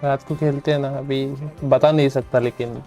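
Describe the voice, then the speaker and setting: An Indian man chats casually on a live game stream. His voice is mellow and he talks extremely fast, with faint music behind him. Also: medium pitch, steady tone.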